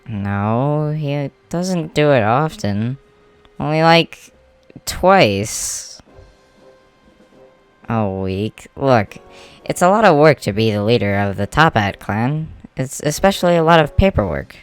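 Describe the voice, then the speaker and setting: A man's voice: mid-range voice, animated, slow, smooth. Man acting stubborn, chatty clan boss over music. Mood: weary, defensive. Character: male clan leader, bedridden, stubborn, polite workaholic. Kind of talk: amateur comic dub acting. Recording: music behind the voice.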